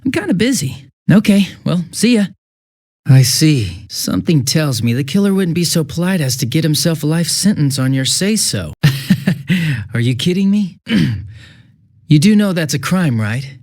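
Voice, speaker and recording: Smug, swooping young police detective. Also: male voice, fairly high pitch, very animated, unhurried, darkish tone, heavily acted. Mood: breezy, busy, then scornful, evasive. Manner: casual, sarcastic, taunting, shifting from breezy to menacing. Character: smug, two-faced young male police detective with mocking cruelty. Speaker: police officer. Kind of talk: video game voice acting.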